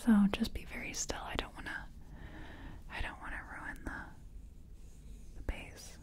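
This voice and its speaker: A woman whispering softly and gently in a breathy voice, reassuring the listener in a close-up ASMR personal attention roleplay as a makeup artist. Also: moderate pace. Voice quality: soft, breathy, mostly whispered. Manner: gentle, reassuring, murmured repetitions.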